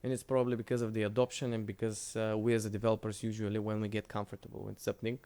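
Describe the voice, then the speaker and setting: A man's voice: on the low side, little pitch movement, racing, dark tone. Man giving a conference talk. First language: Bulgarian. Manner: loose, conversational, with frequent restarts. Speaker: conference speaker. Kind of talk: conference presentation with Q&A.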